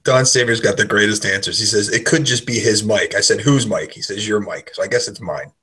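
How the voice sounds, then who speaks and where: A man's voice: fairly low pitch, a little fast, sharp tone, breathy edge. A man co-hosting a casual radio show with joking banter, talking into a computer's built-in microphone in a big empty room. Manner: wisecracking.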